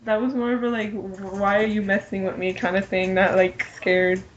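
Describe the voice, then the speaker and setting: A woman chats casually as a fan on a podcast panel. Her pitch is average, and the audio is a little dull and lo-fi. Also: level tone, normal speed, a little hiss or hum.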